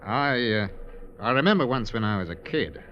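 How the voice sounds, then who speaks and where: An actor in a 1950s radio drama playing a tough, practical, wary sailor who talks in terse, blunt lines. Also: male voice, medium pitch, expressive, moderate pace, close to an everyday voice. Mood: subdued, wary. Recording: dull, faintly noisy vintage radio recording.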